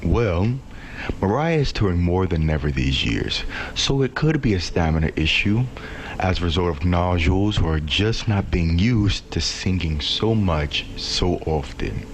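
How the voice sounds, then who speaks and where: A man calmly narrating an explanatory video essay from a script. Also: low voice, measured pace, a little hiss or hum. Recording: music bed underneath.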